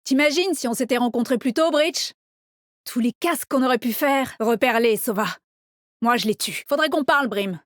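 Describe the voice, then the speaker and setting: A woman's voice: high voice, moderate pace, no room sound, clean studio sound, natural voice. An actress playing a cocky, teasing female squad leader in a video game, with a confident, brash and punchy delivery. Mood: wry, confident. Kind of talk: video game character voice lines.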